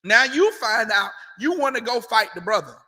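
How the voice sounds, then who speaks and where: High, sharp-voiced man preaching hard. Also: lively intonation, normal speed, big-room sound. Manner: emphatic, repetitive, mocking asides. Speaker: street preacher. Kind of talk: confrontational sermon.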